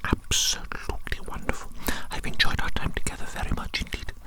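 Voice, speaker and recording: A performer voicing an eccentric evil doctor in a breathy ASMR whisper, repeating phrases soothingly and dotingly. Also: fairly quick, lightly acted. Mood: soothing, fond.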